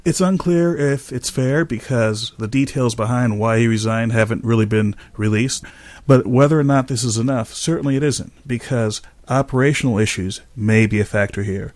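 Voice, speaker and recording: A man speaking as an expert host in a measured, explanatory way. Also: medium pitch, darkish tone, no echo. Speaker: aviation safety expert and podcast host. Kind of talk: scripted narration and expert interview answers.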